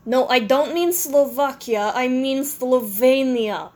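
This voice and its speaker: A woman's voice: high-pitched, slow pace, a little mellow. A woman voicing the country Slovenia as an exasperated young woman who keeps insisting she exists, in a fan comedy podcast. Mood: insistent. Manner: insistent, repeating herself, frustrated.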